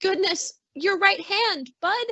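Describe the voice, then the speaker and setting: A woman's voice: extremely high pitch, animated, slow pace, sharp tone, dry recording, lo-fi sound, exaggerated voice. A woman acting as a doting, emotional mother in an old-time radio suspense play, fussing tenderly. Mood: fond, fussing. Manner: fussing, cooing endearments.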